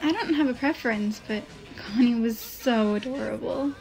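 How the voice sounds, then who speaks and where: A woman playing a sweet, open-minded teenage girl with a gentle manner in a comic dub. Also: on the high side, normal speed, close to an everyday voice, poor audio. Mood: fond. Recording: music behind the voice.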